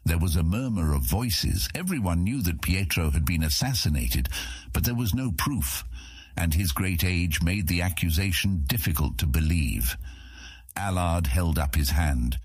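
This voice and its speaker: Deep, expressive male fantasy narrator. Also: measured pace, no room sound. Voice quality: deep, husky. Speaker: audiobook narrator.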